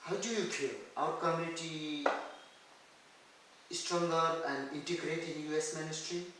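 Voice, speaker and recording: A man's voice: on the high side, steady tone, unhurried, a bit bright. A man hosting an interview, asking long questions in broken, searching phrasing. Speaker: TV host interviewer. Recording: echoey room, distant mic, faint hiss.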